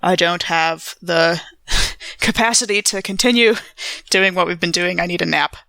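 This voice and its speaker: A woman's voice: on the low side, normal speed, gravelly, sharp tone, no echo. A woman playing in a tabletop role-playing podcast, speaking in character with formal, careful wording. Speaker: player in an actual play podcast.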